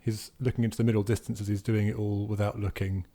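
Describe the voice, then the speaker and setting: Low-voiced man improvising game narration. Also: flat delivery, fast talker, dark tone. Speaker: roleplaying podcast player.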